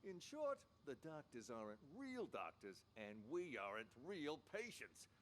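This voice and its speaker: A grandiose mental patient convinced he is a director. His delivery is dramatic and conspiratorial, with lively swings of pitch, as in a radio drama, over slight background noise. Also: measured pace. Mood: grave, conspiratorial. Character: delusional theatrical male mental patient who believes he is a director. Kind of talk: interactive radio drama acting.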